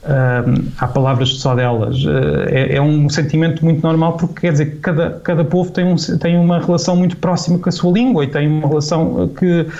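A man's voice: a little high, little pitch movement, fairly quick, creaky, few pauses, a little mellow, dull audio. A man with a European Portuguese accent explains things conversationally as an interview guest, thoughtfully and often rephrasing mid-sentence. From Peniche, Portugal. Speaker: linguist and author, interview guest.

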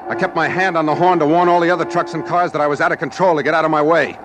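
An actor playing a trucker narrating a suspense story in an American accent, in 1940s radio drama style. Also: male voice, on the high side, fairly even intonation, very fast, lightly acted. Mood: urgent, alarmed. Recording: noisy old radio recording, vehicle sounds.

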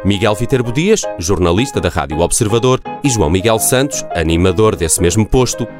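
Man, low voice, radio serial narrator, mock-solemn, guitar music behind. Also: expressive, brisk. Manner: tongue-in-cheek, mock-solemn. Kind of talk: scripted radio drama narration. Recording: music bed under the voice.

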